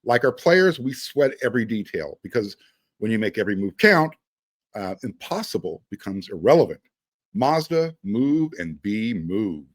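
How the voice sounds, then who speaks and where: A man's voice: on the low side, big pitch swings, normal speed, no echo. A man hosting a sports podcast, talking in a conversational way with emphatic phrasing. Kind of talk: casual sports talk with read-out sponsor spots.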